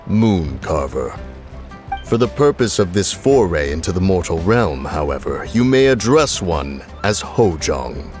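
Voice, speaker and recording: A man's voice: low voice, darkish tone. A man voicing a lofty immortal deer sage in human guise for a video game, speaking in a pompous, archaic and formal way. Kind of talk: video game character acting.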